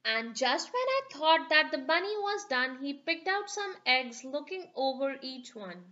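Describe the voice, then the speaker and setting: A woman's voice: high voice, big pitch swings, a little slow, bright, forceful tone, highs cut off. A woman reading a rhyming picture book aloud for children in a sing-song storytelling manner.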